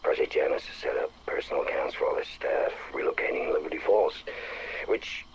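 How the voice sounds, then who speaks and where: An anguished small-town banker with a rock-bottom voice that swings expressively. He confesses haltingly and fearfully, a principled man in trouble, in a game audio log. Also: a bass voice, animated, slightly rough, heavily acted, rough recording. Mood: regretful, bitter.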